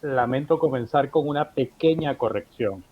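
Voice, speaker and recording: Man explaining over a muffled line. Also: mid-range voice. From Portugal. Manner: measured, explanatory. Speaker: political consultant guest. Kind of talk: interview answers. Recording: muffled remote line with faint music.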